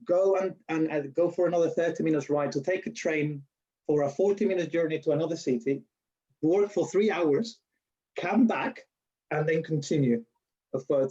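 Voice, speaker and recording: A man's voice: on the high side, moderate pace, warm tone, muffled recording. A man from Spain with a strong Spanish accent, chatting in an interview and telling stories with restarts and laughs. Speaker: lecturer being interviewed. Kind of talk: casual interview.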